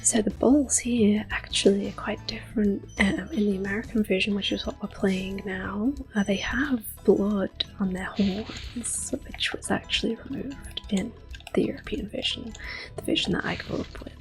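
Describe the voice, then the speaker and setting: A woman hosting soft-spoken ASMR gameplay commentary, whispering gently as she plays. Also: mid-range voice, fairly even intonation, moderate pace, vocal fry, rough recording. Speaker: ASMR gaming host. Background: game music.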